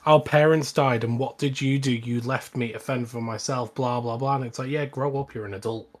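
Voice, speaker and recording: A man's voice: medium pitch, steady tone, fairly quick. A man co-hosting a podcast, chatting casually about a film. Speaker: podcast co-host.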